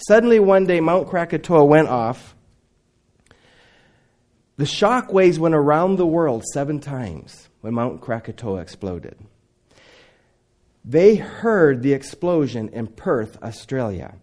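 A middle-aged man who grew up in Indonesia, preaching a sermon with dramatic swings between loud emphasis and near whispers. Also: on the high side.

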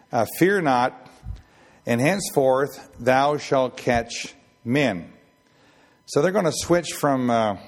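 A man in his sixties with a raspy voice, giving an informal Bible teaching and rambling with many fillers and restarts. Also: medium pitch. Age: 60s. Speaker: ship chaplain preacher.

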